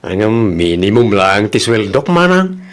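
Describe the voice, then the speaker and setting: A man's voice: fairly low pitch, very animated, unhurried. An actor with an Ilocano accent playing an earnest, respectful young jobless man eager to work, in a radio drama.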